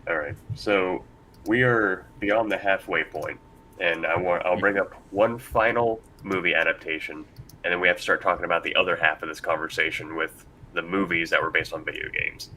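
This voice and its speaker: Deep, bright-voiced man moderating a panel. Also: moderate pace, poor audio. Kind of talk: casual panel discussion. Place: convention panel room. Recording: live panel with background noise.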